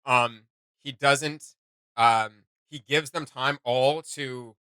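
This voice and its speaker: A man gives casual reaction commentary in a bright, mid-pitched voice. He rambles and jokes his way through asides in an animated manner. The sound is dry and close. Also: drawn-out words, clean tone, no echo. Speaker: reaction show host.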